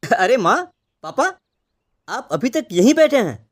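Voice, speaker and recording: A man playing a carefree, headstrong eighteen-year-old son in an animated story drama. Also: extremely high pitch, unhurried, heavily acted. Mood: insistent. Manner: carefree, insistent.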